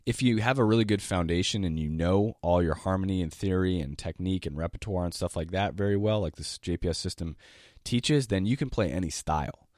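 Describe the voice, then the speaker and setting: A man who is sick and sounds lower than usual, hosting a casual podcast as a jazz piano teacher, rambling eagerly with many restarts and the odd cough. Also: fairly quick, darkish tone, no room sound, clean studio sound. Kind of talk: casual solo podcast monologue.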